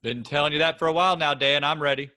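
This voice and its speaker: A man's voice: on the high side, quick pace, bright, forceful tone. A man playing a resentful middle-aged farmer who speaks in a grudging, curt way. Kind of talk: staged play reading.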